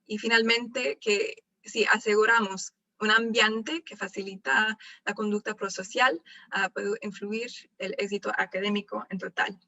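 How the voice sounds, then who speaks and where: A woman wraps up an academic presentation. Her voice is bright and forward. She speaks in a measured way, with small restarts. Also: medium pitch, fairly even intonation, poor audio.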